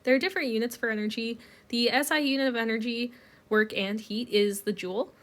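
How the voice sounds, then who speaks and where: A woman's voice: a little high, a little fast. A woman teaching a recorded chemistry lecture while getting over a cold, talking through each step with plenty of ums. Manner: relaxed, explains step by step with many ums. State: recovering from a cold.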